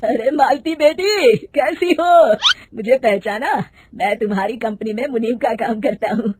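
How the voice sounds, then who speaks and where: Woman doing a slow kindly old accountant man. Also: a little high, noisy background, natural voice. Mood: worried. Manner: slow, fatherly, loud.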